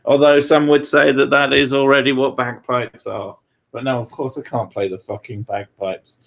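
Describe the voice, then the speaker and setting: A man's voice: mid-range voice, level tone, normal speed, creaky, a bit bright. A man from Britain chatting casually as host, joking, swearing freely and laughing often. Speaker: podcast host answering questions. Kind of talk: casual chat.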